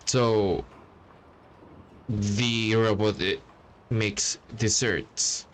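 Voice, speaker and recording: A man's voice: lowish voice, steady tone, very slow, very noisy. A man giving casual gameplay commentary in an offhand, hesitant way. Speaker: gamer. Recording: faint game music behind.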